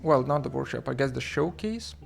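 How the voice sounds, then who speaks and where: A man from Lithuania hosting a livestream, talking haltingly with many fillers and restarts as he reads the chat aloud. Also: mid-range voice, expressive, vocal fry, faint music behind. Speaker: livestream host.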